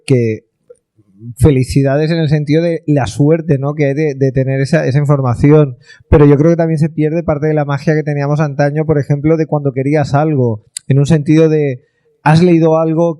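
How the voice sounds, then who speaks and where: A man's voice: a little high, flat delivery, moderate pace, mellow, no room sound. A man speaking on a panel debate, reflective and nostalgic, often tagging his points with questions.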